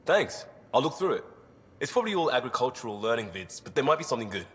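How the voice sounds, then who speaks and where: A man's voice: mid-range voice, big pitch swings, quick pace, a bit bright, long reverb tail. A man playing a casual, good-humoured young human explorer in a video game. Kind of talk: video game dialogue, light banter.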